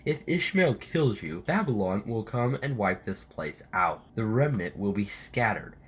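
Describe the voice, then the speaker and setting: Man playing husky, insistent army officer. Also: fairly low pitch, sing-song, unhurried, exaggerated voice. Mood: indignant, insistent. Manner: insistent, earnest. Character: loyal, urgent male army officer pleading warning. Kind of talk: Bible audio drama acting.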